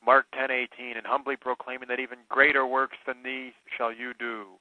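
A man's voice: medium pitch, flat delivery, normal speed, no room sound. A man co-hosting a radio talk, reading quoted passages aloud and adding short questions. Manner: reads quoted charges aloud, brief interjections. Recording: tinny phone-line radio audio, dry.

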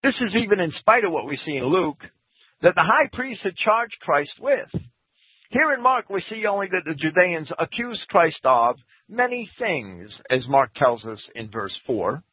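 Male scripture teacher, husky, animated pitch, dry thin radio sound. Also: normal speed, no echo. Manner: lecturing, reads quotes aloud, lively pitch. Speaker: Bible teacher. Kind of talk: scripture commentary talk. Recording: tinny phone-like radio broadcast.

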